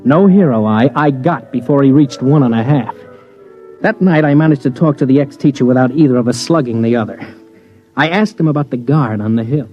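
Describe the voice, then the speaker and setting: A man's voice: mid-range voice, fairly quick, dark tone, highs cut off, lightly acted. An actor playing a cynical, wary newsman who narrates a 1950s radio drama in a terse, hard-boiled way. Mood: grim, wry. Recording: old radio recording with music underneath.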